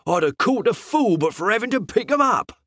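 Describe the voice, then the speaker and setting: A man's voice: sits high, very animated, brisk, fairly bright tone, no room sound, pitched above the performer's own voice, exaggerated voice. A red-faced shopkeeper with a short fuse, blustering and threatening in a raised, swinging voice, as a man puts him on. The recording is close and dry. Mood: angry. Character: red-faced angry male greengrocer, hot-tempered.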